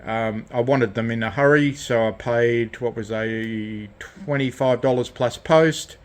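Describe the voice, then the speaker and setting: A man with a mid-pitched voice presents as a hobbyist at his bench. He speaks very slowly and haltingly, rambling through an unscripted hands-on demonstration. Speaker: DIY electronics hobbyist presenting his project. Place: indoor radio shack workbench.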